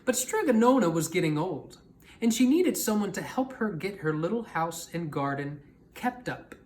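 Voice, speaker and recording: A man reading a children's picture book aloud as a storyteller, in an expressive manner. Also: high-pitched, moderate pace, slight background noise. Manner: animated, expressive.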